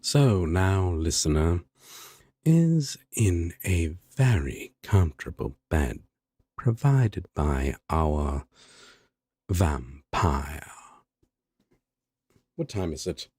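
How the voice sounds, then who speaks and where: A man's voice: sits low, very animated, drawn-out words, pauses often, mellow, no room sound, studio quality. A man, a voice actor, talking casually off script between takes, muttering, breaking off and swearing freely. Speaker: audio roleplay voice actor. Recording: clearly noisy background, passing vehicles.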